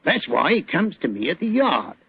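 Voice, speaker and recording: Gravelly Cockney police inspector. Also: male voice, on the high side, very animated, normal speed, full character voice. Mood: animated, confiding. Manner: colloquial, ungrammatical, deferential. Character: chatty working-class London police inspector. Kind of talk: 1940s radio acting. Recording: tinny, echoey old radio recording.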